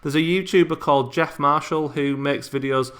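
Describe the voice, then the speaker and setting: A man's voice: fairly high pitch, fairly even intonation, normal speed, fairly bright tone. A man, answering subscriber questions in a casual, chatty way with frequent ums and restarts. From England. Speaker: YouTuber.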